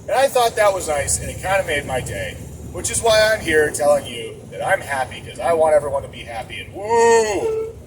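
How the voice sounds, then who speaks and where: A chatty trucker talks to the camera as he drives, rambling and joking at his own expense. His voice sits a little high and reaches a mic set back in the cab. Engine and road noise rumble clearly behind him. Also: wildly swooping pitch, moderate pace, far from the mic, low-quality recording. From Boston area. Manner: rambling, chatty, self-mocking. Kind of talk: casual vlog while driving. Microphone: camera mounted inside the cab.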